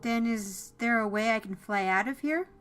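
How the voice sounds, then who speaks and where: A woman's voice: a little high, moderate pace, a bit bright, smooth, lightly acted. A woman voicing a guarded, exasperated young female executive with a curt, skeptical manner in a dramatized audiobook. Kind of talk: dramatized audiobook character dialogue.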